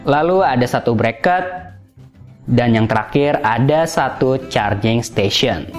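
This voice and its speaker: A man's voice: mid-range voice, lively intonation, a little slow. Casual man reviewing gadgets over music. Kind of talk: casual product review narration. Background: background music.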